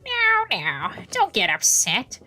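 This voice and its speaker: A woman voicing a sly, theatrical male official in a visual novel, with playful mock politeness and drawn-out emphasis. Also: on the high side, huge pitch swings, pushed and bright, soft background music, pitched above the performer's own voice, full character voice. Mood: gleeful taunting. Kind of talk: visual novel character reading.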